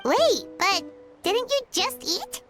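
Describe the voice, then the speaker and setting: A tiny childlike game fairy with an extremely high, squeaky voice. She is excitable and wide-eyed, swooping all over in pitch, with music clearly playing behind. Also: takes it slowly, exaggerated voice. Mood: curious. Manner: exclaiming, wide-eyed, refers to self by name. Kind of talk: video game character dialogue.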